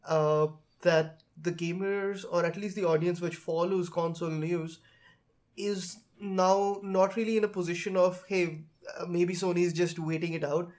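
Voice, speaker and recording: A man co-hosting a casual tech and gaming discussion, thinking aloud in a measured way. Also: high voice, fairly even intonation, darkish tone. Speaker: podcast co-host.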